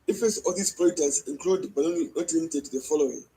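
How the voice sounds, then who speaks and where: A man's voice: on the high side, quick pace, warm tone. A man with a Zambian accent giving a formal prepared statement as a health spokesman, point by point. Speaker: public health association coordinator. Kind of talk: prepared statement in a news interview. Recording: noisy interview clip, faint background noise.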